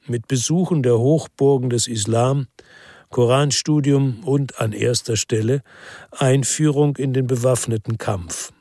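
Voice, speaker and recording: Middle-aged ex-cop narrator in radio noir: rough-edged voice, dry sound, understated, world-weary delivery. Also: male voice, mid-range voice, fairly even intonation, a little slow, dark tone, dry recording. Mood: tense, matter-of-fact. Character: world-weary male ex-cop narrating his crime story. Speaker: narrator and lead character. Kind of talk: first-person crime radio drama narration.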